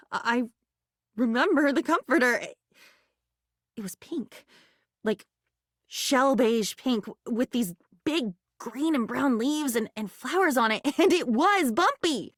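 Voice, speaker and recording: A whimsical female diary come to life for a video game. Her voice sits fairly high and swings widely in pitch as she muses aloud. She is curious, excitable and theatrical, recorded very dry with no room sound. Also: no echo, heavily acted. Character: living diary, quirky, excitable, curious female datable character. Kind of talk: video game character voice acting.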